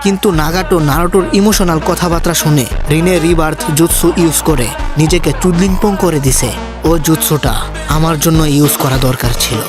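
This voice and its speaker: Man acting a scheming old villain over loud music. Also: on the high side, fairly quick. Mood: wounded, threatening. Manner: teasing, menacing, boastful. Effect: voice changer. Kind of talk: comic amateur anime parody dub.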